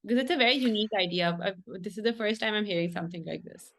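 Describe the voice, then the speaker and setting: A woman with a mid-pitched voice hosts an interview. She introduces her guest and asks questions in a polite, formal way. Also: very fast. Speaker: podcast host. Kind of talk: interview hosting.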